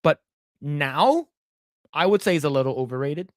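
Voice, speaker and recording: A man's voice: fairly high pitch, lively intonation, normal speed, no room sound, studio quality. A young man co-hosting a podcast, chatting in a rambling, blunt way with casual swearing. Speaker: podcast co-host. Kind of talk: casual chat.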